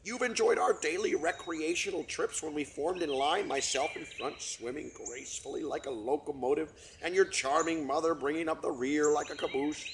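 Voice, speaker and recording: A man's voice: on the high side, normal speed, gravelly, few pauses, a little hiss or hum. A man voicing a pompous, long-winded father trumpeter swan who makes grand speeches to his children.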